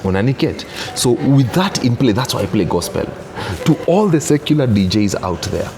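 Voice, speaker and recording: A man's voice: mid-range voice, big pitch swings, normal speed, background noise. A Kenyan man in his thirties, a gospel DJ giving an interview, talking emphatically and often checking that he is understood. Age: 30s. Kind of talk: casual interview, mixing English and Swahili.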